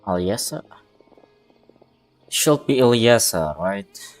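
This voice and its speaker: A woman game streamer with a very low voice and slow delivery. She drops short live reactions over game music. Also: lively intonation. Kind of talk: live playthrough commentary.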